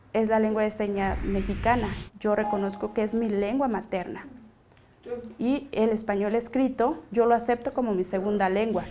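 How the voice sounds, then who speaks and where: A woman giving personal testimony in a documentary segment, deliberate and firm, in short phrases. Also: medium pitch, darkish tone, slight background noise.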